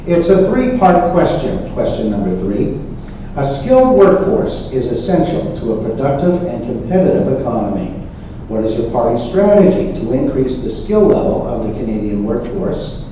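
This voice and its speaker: Dark-voiced man moderating in an echoey room. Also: medium pitch, big pitch swings, moderate pace. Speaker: forum moderator. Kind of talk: formal moderating, reading questions aloud. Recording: echoey room, distant mic, faint noise.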